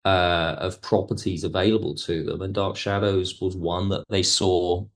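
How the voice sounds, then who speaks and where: A man speaking as an expert guest in an interview, explaining things in a measured, digressive way. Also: low voice, fairly even intonation. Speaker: podcast guest, television history expert. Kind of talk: conversational interview commentary.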